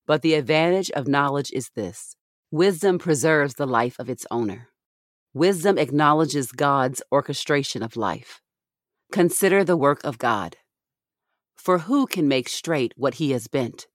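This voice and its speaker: A woman reads scripture with a solemn, deliberate delivery. Each phrase is set out in a measured way for an audio Bible podcast, and the sound is very dry, with little room around it. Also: deep voice, expressive, no room sound.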